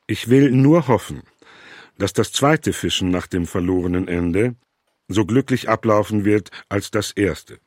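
A man playing a worried, stern businessman reading out his letters in a measured, formal way. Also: lowish voice, dry recording, studio quality.

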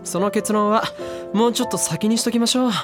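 A man's voice: high-pitched, steady tone, fairly quick, over music, lightly acted. A man playing a confident, pushy younger teenage boy with casual slangy speech in a Japanese anime audio drama. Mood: breezy.

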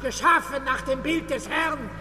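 A fiery funeral preacher. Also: male voice, a little hiss or hum, full character voice. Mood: preaching. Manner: booming, drawn-out sermon cadence. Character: fiery male preacher, thundering accusations. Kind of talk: radio drama acting. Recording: echoey hall with loud music.

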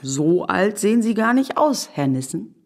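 A woman's voice: medium pitch, very animated, normal speed, a little mellow. An actress playing a curious, self-assured woman in a radio drama, probing and dryly playful. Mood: teasing, probing. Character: curious, teasing, self-assured married woman, Fräulein Schlüter. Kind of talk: naturalistic radio drama acting.

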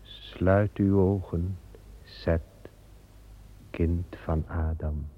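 A man voicing a calm, solemn male angel guarding a paradise garden, speaking slowly and with measure in an old radio play. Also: deep voice, husky, heavily acted. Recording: dull old radio play recording with music.

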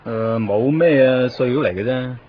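An actor playing a casual, probing Hong Kong police constable in a 1990s Cantonese radio drama. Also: male voice, medium pitch, moderate pace, close to an everyday voice. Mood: brisk, offhand. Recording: dull lo-fi radio recording, faint noise.

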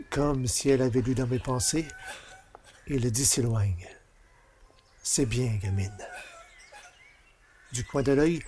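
An actor playing a bitter, vengeful village carpenter, speaking in a brooding, menacing monologue for a French audio drama. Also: male voice, medium pitch, slight background noise, lightly acted. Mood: bitter, resolved.

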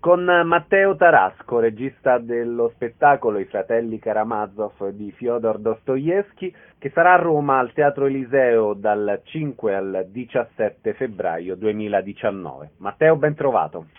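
A man's voice: mid-range voice, expressive, a little slow, hardly any pauses, phone-quality sound, soft background music. A man hosting a radio show, asking questions and introducing guests in an interview.

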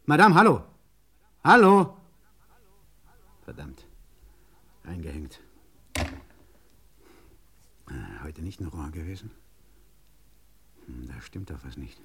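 An actor playing an urgent, insistent French car mechanic on the phone in a 1950s German radio play. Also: male voice, rumbling low, big pitch swings, takes it slowly, pauses often, fairly bright tone, slight background noise, heavily acted. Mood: puzzled, uneasy. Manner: urgent, insistent, muttering to himself in asides.

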